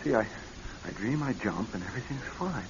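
An actor playing a haunted, edgy husband in a radio drama, troubled and strained, swinging from subdued to snappish. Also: male voice, on the low side, animated, brisk. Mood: weary, haunted. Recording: muffled, hissy old radio recording.

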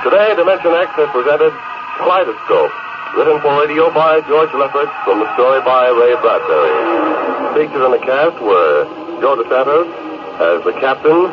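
Dramatic vintage radio announcer man over loud music. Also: mid-range voice, very animated, moderate pace, lo-fi sound. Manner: formal, dramatic. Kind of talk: 1950s radio announcing, scripted narration.

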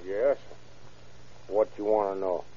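An actor playing an indignant Italian immigrant who protests in broken English with a heavy accent, in an old radio crime drama. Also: male voice, lowish voice, fairly even intonation, slow pace, dark tone. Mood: defensive. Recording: muffled vintage radio show recording.

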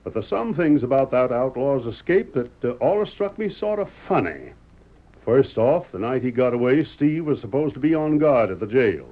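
A man's voice: mid-range voice, very animated, normal speed, close to an everyday voice. An actor playing a stubborn middle-aged frontier father in a radio western, with a wry, folksy Western American drawl. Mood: wry, defiant. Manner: folksy, sly, drawling needling. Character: stubborn, wry Old West father, sheriff candidate. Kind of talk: old-time radio western acting. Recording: dull, muffled old radio recording.

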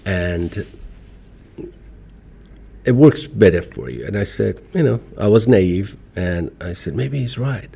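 A man's voice: low voice, sing-song, slow pace, vocal fry, long pauses, mellow, slightly rough. A man with an Iranian accent, a native Farsi speaker, talking as a podcast guest in an emphatic, motivational way. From Iran. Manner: emphatic, motivational, repeats short phrases. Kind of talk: casual interview talk.

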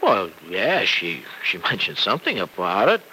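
A man playing an earnest, conflicted young married man in a 1940s radio soap, speaking emphatically and haltingly in colloquial phrases. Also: low-pitched, huge pitch swings, pushed and bright, full character voice. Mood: friendly, sheepish. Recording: noisy vintage radio drama recording.

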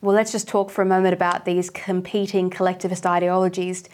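A woman's voice: fairly low pitch, flat delivery, a little fast, darkish tone. A woman hosting an interview show, asking pointed, rhetorical questions. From Australia. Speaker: show host.

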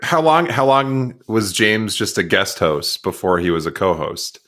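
Deep-voiced easygoing man. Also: big pitch swings, a little slow, a bit bright. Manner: easygoing and laughs often. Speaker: podcast co-host. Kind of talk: casual sports podcast chat.

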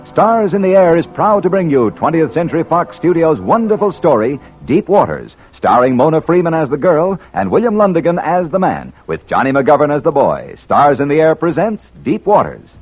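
A man's voice: a little high, big pitch swings, moderate pace, few pauses, slightly rough, lo-fi sound. A man announcing an old-time radio drama in a formal, polished, dramatic style. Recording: band-limited vintage radio recording with music.

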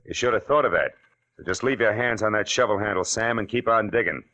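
An actor playing a tough, wry private detective in an old-time radio drama, terse and sardonic. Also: male voice, fairly low pitch, fairly quick, pushed and bright. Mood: commanding.

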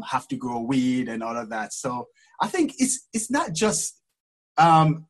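A man from Jamaica with a Jamaican accent, a guest in an interview who speaks hesitantly with many pauses and laughs easily. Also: medium pitch. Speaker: guest florist. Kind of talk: casual interview chat.

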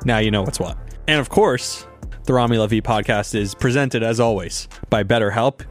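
Chatty young sports podcast host. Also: male voice, fairly low pitch, normal speed, faint music behind. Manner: chatty, rambling, laughs often. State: slightly sore voice. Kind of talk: casual sports talk.

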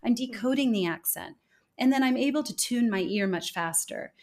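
A woman's voice: a little high, expressive, brisk. An American woman speaking as a podcast guest, explaining ideas in an articulate, emphatic way. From United States. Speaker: podcast guest, accent expert. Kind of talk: conversational interview.

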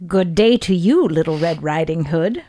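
A woman's voice: on the low side, animated, moderate pace, dark tone. Woman doing a sly, coaxing wolf. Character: sly smooth-talking male wolf. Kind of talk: storybook read-aloud.

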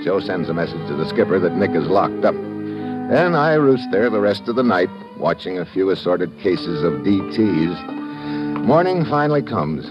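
Very deep-voiced hardboiled investigator. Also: male voice, sing-song, normal speed, music in the background. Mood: tense narration. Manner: clipped, wry tough-guy delivery. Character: hardboiled male investigator narrating his own adventure, cool, wry. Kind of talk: 1940s-50s radio drama narration and acting. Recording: muffled old radio recording, musical accompaniment.

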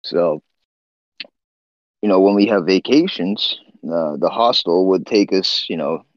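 A man from Nepal, a guest on an interview podcast, telling his life story in a relaxed, chatty way with frequent "uh" and "you know". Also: low voice, measured pace, no echo, dull audio. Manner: laid-back, laughs often, trails off with "uh" and "you know". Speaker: guest, swimbait builder.

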